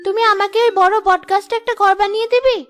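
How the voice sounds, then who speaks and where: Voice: very high-pitched, fairly even intonation, fast talker, a bit bright, faint music behind. A performer voicing a sweet, eager, fearless little girl in a cartoon drama. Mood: eager, confident. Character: dreamy, fearless little girl who talks to animals.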